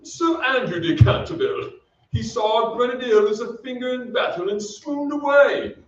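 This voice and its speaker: A man's voice: extremely high pitch, moderate pace. A man playing a mournful old English ghost nobleman in a theatrical, archaic, old-time radio drama style. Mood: lofty, reluctant. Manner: theatrical, archaic and lofty speech. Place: theatre stage, heard from off. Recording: distant echoey stage, dull with noise.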